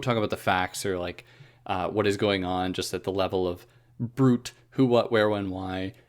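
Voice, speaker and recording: A man hosting a philosophy podcast, explaining a book in a casual, conversational monologue with wry asides and frequent restarts. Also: on the low side.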